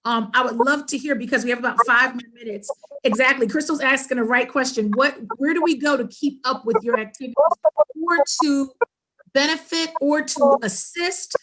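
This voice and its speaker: A woman's voice: high-pitched, fairly bright tone, poor audio. A middle-aged woman hosting an online panel discussion from a room at home over a video call. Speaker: panel host. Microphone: computer mic on a video call.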